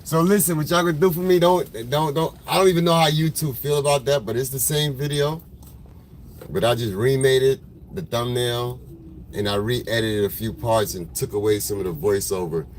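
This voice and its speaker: A man's voice: medium pitch, normal speed. Chill guy w a smoky smoker's voice, rambling on a live stream from his car, faint road hum. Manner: laid-back, rambling, slangy, greets viewers by name. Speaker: photographer hosting a livestream. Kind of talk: casual livestream chat with viewers. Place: in a car in traffic. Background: faint vehicle noise.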